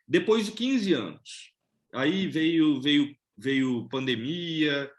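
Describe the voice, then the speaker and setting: A man hosting a casual interview, asking relaxed questions and often repeating words as he goes. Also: fairly high pitch, extremely slow. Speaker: interviewer, channel host.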